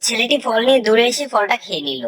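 A woman's voice: on the high side, sing-song, quick pace, recorded from a distance, a loud crowd. A woman narrating a scripted cartoon moral story as the storyteller.

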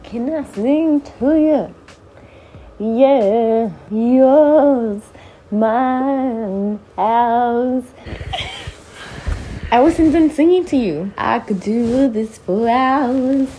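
Young woman, high slow voice, teasing partner, faint room noise. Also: mellow. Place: living room at home.

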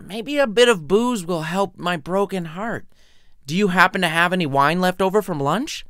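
A man's voice: high voice, moderate pace, fairly bright tone, dry recording, studio quality. A man voicing a heartbroken young American student in an audio story, whiny, sarcastic and melodramatic. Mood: sulky.

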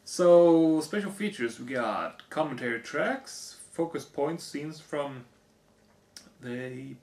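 A hoarse young man on a living room couch, casually reading off movie box features. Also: on the high side, takes it slowly, a little mellow. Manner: reads off lists, offhand comments. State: hoarse, losing his voice. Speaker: vlogger. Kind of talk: casual unboxing chat. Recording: echoey room.

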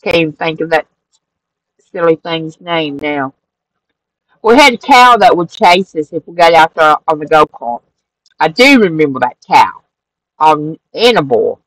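A woman's voice: sits low, unhurried, pauses often, no echo, rough recording. A middle-aged woman, casually narrating a flip-through of her handmade craft journal, rambling a little and correcting herself as she goes. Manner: relaxed, rambling, with fillers and self-corrections. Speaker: crafting channel host. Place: craft room at a table.

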